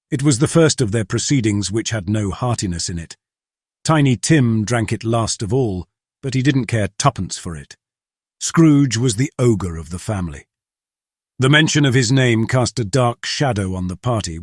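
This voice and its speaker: A man narrates an audiobook in a measured storytelling manner. His pitch sits in the middle, and the recording is close and dry. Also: darkish tone, no room sound.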